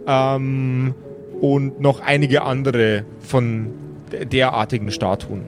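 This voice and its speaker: A male game master improvises his narration over clearly audible music. His mid-range voice halts, searches for words and corrects itself as he describes the scene to players. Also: medium pitch, little pitch movement, slow pace.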